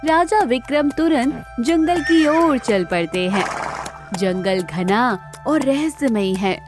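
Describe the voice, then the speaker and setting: A woman's voice: high voice, expressive, slow, over music. A woman narrating a scripted children's moral story as the storyteller.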